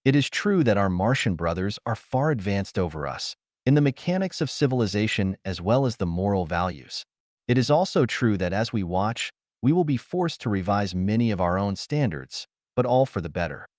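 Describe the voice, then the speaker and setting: A man voicing a pompous, excitable show-business boss with a sonorous, grandiose announcing manner, in an audiobook. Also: on the low side, normal speed, dry recording, clean studio sound. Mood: grand, solemn.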